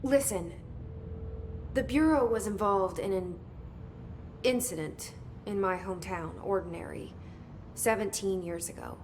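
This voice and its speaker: A woman's voice: medium pitch, a little slow, pauses often, darkish tone, a little hiss or hum, natural voice. An actress playing a determined young woman in charge of a secret agency, speaking tersely and directly in game cutscenes. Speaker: game protagonist. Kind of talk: video game cutscene dialogue.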